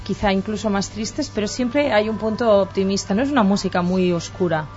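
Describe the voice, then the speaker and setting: A woman with a Catalan accent, presenting and interviewing on the radio in a clear, measured way. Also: medium pitch, darkish tone. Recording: dull lo-fi radio sound with faint music.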